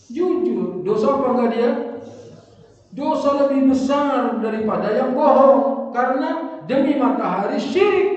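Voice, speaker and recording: A male preacher with a fairly high voice gives a lively religious lesson, jumping from forceful stresses to soft asides. He is heard from well back in a big mosque hall, with strong echo and long reverb. The sound is dull and lo-fi. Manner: lively, swings between loud stresses and quiet asides, acts out everyday quotes. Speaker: Islamic preacher. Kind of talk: sermon-style religious lesson. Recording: echoey hall from far off, dull lo-fi.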